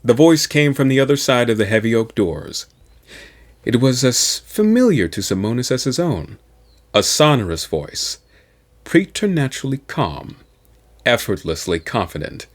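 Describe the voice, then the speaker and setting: A man reading a science fiction novel aloud as an audiobook narrator, in a measured, dramatic storytelling delivery. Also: mid-range voice. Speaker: audiobook reader.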